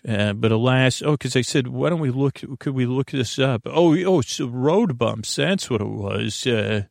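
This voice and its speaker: Creaky-voiced man rambling at bedtime. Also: medium pitch, no echo, clean studio sound. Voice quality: creaky, gravelly. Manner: meandering, halting, digressive, folksy. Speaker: podcast host.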